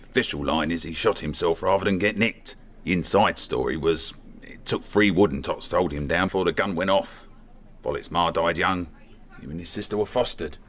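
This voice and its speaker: An actor playing an older, world-weary police inspector, dry and commanding in a radio play. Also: male voice, low voice, normal speed, fairly bright tone. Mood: wry, knowing. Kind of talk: comedy radio play acting.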